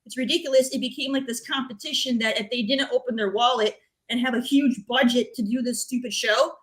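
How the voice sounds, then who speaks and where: A woman's voice: a little high, steady tone, fairly quick, a bit bright. A woman speaking informally as a panelist on a gaming talk show, giving opinions in a blunt, emphatic way.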